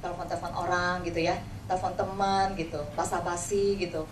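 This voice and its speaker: A woman's voice: on the low side, flat delivery, a little fast. A woman with a Jakarta Indonesian accent gives an informal motivational talk as an agency leader, telling personal anecdotes conversationally and often checking for agreement. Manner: conversational, acts out quoted dialogue, frequent tag words seeking agreement. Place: indoor room before an audience. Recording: echoey room, distant mic, noisy background.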